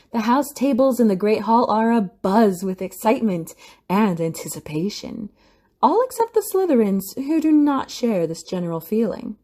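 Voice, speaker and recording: A woman's voice: fairly high pitch, dark tone. A woman giving dramatic scripted narration for an audio play, with music behind her.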